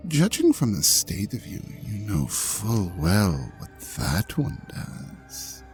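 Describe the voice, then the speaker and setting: A performer voicing a seductive, smug ancient dragon god in an intimate audio drama, teasing and coaxing the listener with breathy, half-whispered phrases. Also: sits low, wildly swooping pitch, slow pace, a little mellow, faint music behind, exaggerated voice. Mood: seductive, hushed.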